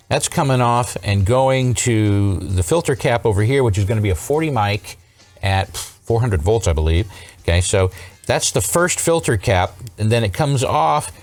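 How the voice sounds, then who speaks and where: A man giving a casual hands-on repair tutorial, explaining and thinking aloud as he goes. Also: fairly low pitch. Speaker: vintage electronics repair host.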